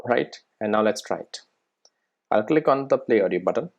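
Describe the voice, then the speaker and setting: A software tutorial presenter explaining things step by step in an informal way, often checking in with the listener. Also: medium pitch, animated, moderate pace, gravelly.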